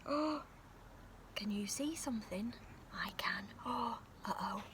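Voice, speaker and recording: A woman reading a children's picture book aloud outdoors in a park, in a soft, breathy storytelling voice with gasps and sudden shouts. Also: mid-range voice, animated, slow, a little hiss or hum. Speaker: storybook reader.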